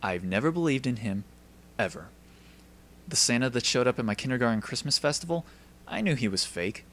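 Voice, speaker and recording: Male actor as cynical teen schoolboy narrator, anime dub, dry and wry, forward bright tone. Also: medium pitch, animated, brisk, pitched below the performer's own voice, close to an everyday voice. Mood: wry, resigned. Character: cynical deadpan teenage schoolboy narrator, wistfully world-weary.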